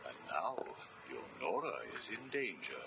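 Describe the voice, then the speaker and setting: A smug villain psychiatrist in a video game. His voice is extremely deep yet swoops expressively as he probes, taunts and accuses with clinical coldness. The audio log has clear noise. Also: sing-song, measured pace, full character voice. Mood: taunting, accusatory. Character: smug, sinister male interrogating psychiatrist villain, coldly accusatory. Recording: noisy interview audio log.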